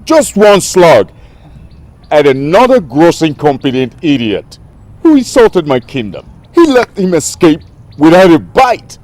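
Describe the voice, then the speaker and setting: An actor playing a haughty, short-tempered royal man in a film drama, with a pompous, halting delivery. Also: on the low side, lively intonation, darkish tone, dry recording. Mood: contemptuous outrage.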